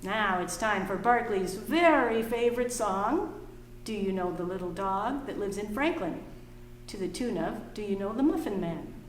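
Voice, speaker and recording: A woman hosting a library storytime for children, singing little songs and reading a picture book aloud in a slow, expressive way. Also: mid-range voice, far from the mic, a little hiss or hum. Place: library room. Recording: echoey room, voice from afar.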